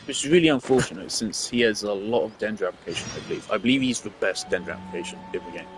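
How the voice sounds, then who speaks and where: Man, low-pitched, casual, thinks aloud, restarts a lot, gameplay commentary. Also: rapid-fire, background noise. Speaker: gameplay streamer.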